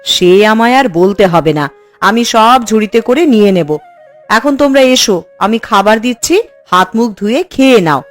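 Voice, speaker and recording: Woman acting a high-voiced fretful cartoon mother. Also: normal speed, faint music behind, natural voice. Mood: brisk, practical. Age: adult. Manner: exasperated, coaxing, expressive. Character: fretful, scolding housewife and doting mother of a boy.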